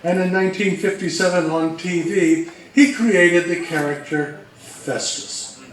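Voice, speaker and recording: A man co-presenting an informal history talk, explaining things in a measured, step-by-step way. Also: high voice. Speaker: co-presenter at a fan convention talk. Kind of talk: informal lecture on old radio history. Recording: very echoey room, distant mic, faint noise.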